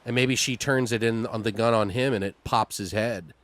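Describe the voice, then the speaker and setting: A man in a casual creative brainstorming chat, informal and sweary, thinking aloud in halting repetitions. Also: fairly low pitch, a bit bright. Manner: foul-mouthed, informal. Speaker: co-host brainstorming a horror audio drama.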